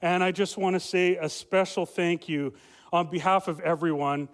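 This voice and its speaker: High-voiced pastor preaching in a reverberant hall. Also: male voice, normal speed. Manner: loud, lively preaching with many fillers and restarts. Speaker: family pastor. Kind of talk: sermon. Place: church sanctuary.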